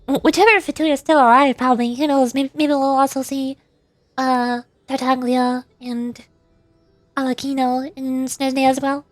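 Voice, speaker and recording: High-voiced woman streamer, close dry sound. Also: a little mellow, no room sound. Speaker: VTuber game streamer. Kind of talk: casual gameplay commentary.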